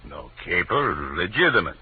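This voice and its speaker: An actor playing a shady, defensive gambling racketeer with clipped broken grammar, in an old radio crime drama. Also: male voice, low-pitched, big pitch swings, normal speed, breathy edge, heavily acted. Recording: muffled, tinny old radio broadcast.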